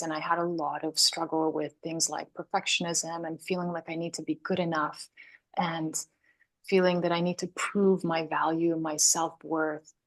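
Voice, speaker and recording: A woman raised in Canada, a guest speaking thoughtfully and softly in an interview, laughing easily. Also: deep voice. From Hungary, raised in Canada. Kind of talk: reflective interview conversation.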